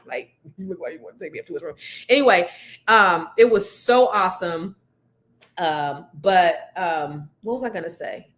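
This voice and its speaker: A woman hosting a live show, chatting excitedly to viewers; her voice is deeper than usual from cigar smoke. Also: moderate pace. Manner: chatty, excitable storytelling with many fillers. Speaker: host of a live money and news show. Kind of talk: casual chat to viewers.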